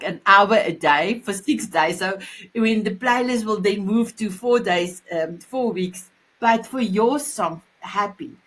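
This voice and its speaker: South african afrikaans lady hosting, kinda high voice, super affectionate and gushy, stumbles over words. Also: a bit bright, low-quality recording. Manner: gushing, affectionate, halting with repeated words. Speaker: show host. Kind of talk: effusive group talk show hosting.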